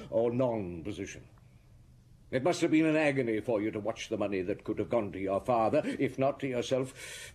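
An actor playing a shrewd, methodical chief inspector in a radio drama, probing and deliberate with a husky voice. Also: male voice, medium pitch, a little mellow. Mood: accusing, sardonic. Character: shrewd, methodical male police chief inspector laying out accusations. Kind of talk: BBC radio drama acting.

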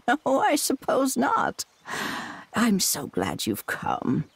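A woman's voice: fairly high pitch, huge pitch swings, slow pace, gravelly, husky, dry recording, heavily acted. An actress playing a feisty, affectionate old grandmother in a video game, with a folksy, doting manner. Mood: delighted. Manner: folksy, doting, hesitant and chuckling. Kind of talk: animated video game voice acting.

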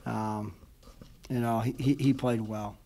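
A man's voice: on the low side, steady tone, slow, mellow, slight background noise. A man in his fifties, a college basketball head coach, speaking at a postgame press conference from a table mic, rambling and heartfelt with many fillers. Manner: rambling, emotional, many fillers like "you know what I mean". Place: indoor press room before a logo backdrop. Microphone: table mic in front of him.

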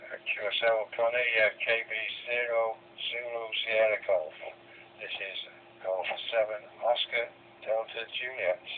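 A man making amateur radio contacts, haltingly spelling out call signs over a radio link. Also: medium pitch, flat delivery. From England. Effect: radio transmission. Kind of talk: ham radio contact exchanges. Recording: tinny band-limited radio, clear hum and noise.

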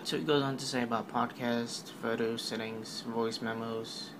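A man's voice: medium pitch, level tone, normal speed, noisy background. A man giving a casual, rambling voice-over recap of a product keynote, full of fillers and offhand remarks. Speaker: tech video narrator.

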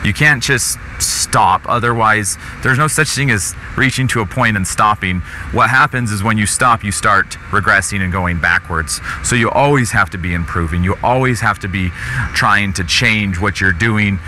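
American male vlog host, thirties, very bright and punchy delivery, some noise behind him. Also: fairly low pitch, moderate pace. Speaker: show host from an RV dealership. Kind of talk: casual vlog presenting. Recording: noticeable background noise.

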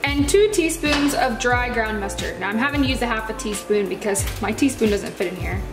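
A woman's voice: a little high, animated, brisk. An American woman chattily walking through recipes step by step in a casual home cooking demonstration. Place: home kitchen, indoors. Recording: echoey kitchen with music behind. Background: music.

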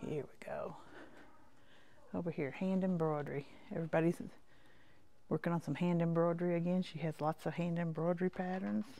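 Lady vlogger doing a rambling shop tour, gravelly voice, kinda low, chatty and folksy. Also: fairly even intonation, fairly quick, dark tone. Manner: chatty, folksy, trailing off between short remarks. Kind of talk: casual rambling tour commentary. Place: indoors, walking through a quilt shop.

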